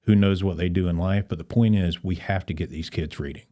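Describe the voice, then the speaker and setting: A man speaking as a guest in a conversational interview, explaining things in an earnest, measured way. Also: low voice, dark tone. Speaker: podcast guest, Rotary club volunteer.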